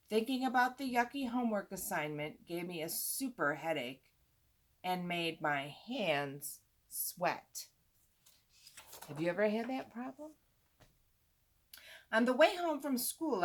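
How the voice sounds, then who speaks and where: A woman reading a children's book aloud expressively, drawing out words for emphasis and adding asides for young listeners. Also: medium pitch. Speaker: storytime reader, teacher-like host.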